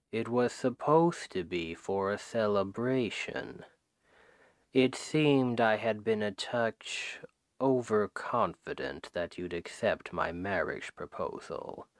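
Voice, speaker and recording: A man playing a suave, menacing, possessive demon king who woos his captive with slow, deliberate, softly condescending speech in an ASMR roleplay, close on the microphone. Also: lowish voice, no room sound. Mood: smooth, wistful. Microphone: close microphone, dry.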